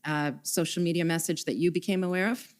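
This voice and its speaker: A woman questions a witness at a formal public hearing, moving steadily through document references and reading messages aloud. Her voice sits a little low for a woman, and her delivery is measured and procedural. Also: steady tone. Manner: measured, reads out document numbers and quotes. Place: hearing room.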